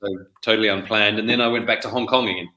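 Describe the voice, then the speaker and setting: A middle-aged man from New Zealand talks loosely in an interview, stopping and restarting his sentences. His voice sits a little low, with a bright and forceful edge. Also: steady tone, rapid-fire. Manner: rambling, with frequent restarts and fillers. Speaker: guest author. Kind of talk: casual interview conversation.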